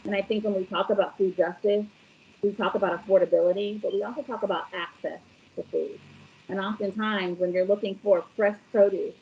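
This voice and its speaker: A woman from Brooklyn speaks from home in a measured, articulate manner, using a few soft fillers. Her voice sits at a middle pitch for a woman. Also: fairly even intonation, phone-quality sound. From Brooklyn, New York. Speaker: food bank director. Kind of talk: interview answers.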